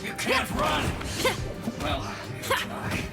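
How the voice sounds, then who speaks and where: A woman's voice: high-pitched, wildly swooping pitch, slow pace, creaky, full character voice. A woman voicing a gleeful, taunting enemy fighter in a video game. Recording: distant, buried under loud battle music.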